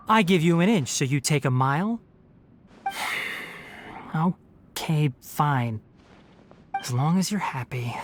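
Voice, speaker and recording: Fox-eared young game ranger, low register, very animated intonation, bookish, articulate, wry and teasing. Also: female voice. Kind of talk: scripted game character voice lines.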